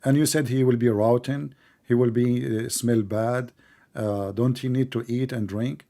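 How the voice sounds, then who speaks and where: Dark-voiced man, teasing debater. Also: mid-range voice, steady tone, normal speed. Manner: teasing, sarcastic, questioning in plain broken English. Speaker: debate host. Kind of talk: live online debate, conversational.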